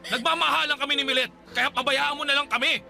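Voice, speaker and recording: A gravelly-voiced cheating boyfriend. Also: male voice, very high voice, steady tone, fast, sharp tone, exaggerated voice. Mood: exasperated shouting. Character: young man, cheating boyfriend, defensive. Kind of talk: Tagalog radio drama acting. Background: music bed.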